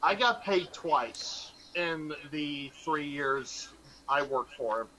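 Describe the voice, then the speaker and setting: A man's voice: a little high, expressive, slow pace, a crowd in the background, low-quality recording. A man chatting casually as a panelist on an online radio show. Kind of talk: casual group chat.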